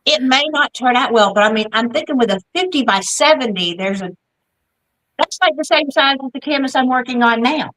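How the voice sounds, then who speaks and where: A woman's voice: high voice, expressive, a little fast, fairly bright tone, poor audio. A woman with a Southern US accent chatting casually as a livestream co-host, rambling and saying y'all. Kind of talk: casual chat. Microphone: video call.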